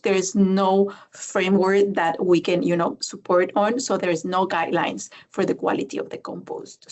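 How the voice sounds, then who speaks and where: A woman's voice: mid-range voice, a little mellow. Woman. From Ecuador. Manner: searching for words, many fillers, tag "no?". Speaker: panelist, development bank specialist. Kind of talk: conversational expert answer. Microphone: video call.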